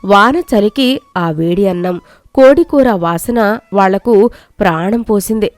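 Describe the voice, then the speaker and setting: A woman telling a moral storybook tale in steady, scripted narration. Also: mid-range voice, a little slow, no echo, studio quality.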